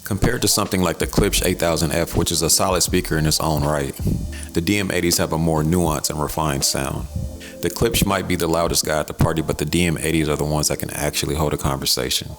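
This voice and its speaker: American male reviewer, extremely low gravelly voice, casual and colloquial delivery over music. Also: fairly even intonation, fast talker. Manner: conversational, colloquial. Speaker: audio gear reviewer and host. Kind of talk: casual product review narration.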